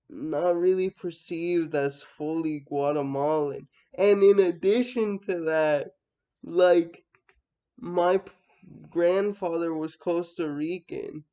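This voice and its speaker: A young man from the United States giving a reflective personal monologue, halting and thinking aloud, often trailing off. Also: a little high, dark tone, highs cut off. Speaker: vlogger.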